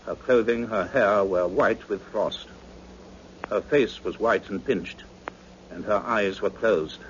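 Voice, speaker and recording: An actor playing a grave, fatherly doctor with intense, measured storytelling in a 1960s radio drama. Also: fairly low pitch. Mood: grave, suspenseful. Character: grave, fatherly doctor of science narrating events. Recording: dull, noisy old radio recording.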